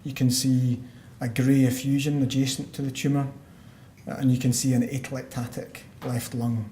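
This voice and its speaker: A Scottish man, a lung doctor, giving a measured medical conference talk with frequent fillers and restarts. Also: mid-range voice, level tone, mellow. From Scotland. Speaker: pulmonologist giving a conference lecture. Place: conference hall. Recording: roomy hall sound, faint background noise.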